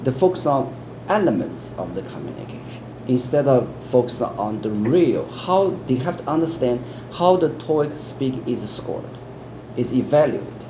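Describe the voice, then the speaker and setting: A man with a Chinese accent speaks in an interview, earnest and patient, often stalling on repeated words before pressing on with his point. His pitch sits at an ordinary middle. A clear air conditioning hum runs underneath. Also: expressive, moderate pace. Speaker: interviewee, English test expert. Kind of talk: explanatory interview answers.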